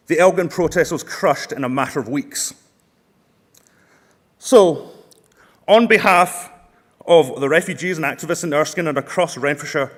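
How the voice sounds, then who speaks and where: A man speaks with rousing earnestness to a conference floor, his voice pitched a little high for a man. The delivery is that of a delegate urging support for a motion. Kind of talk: conference speech. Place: large conference hall.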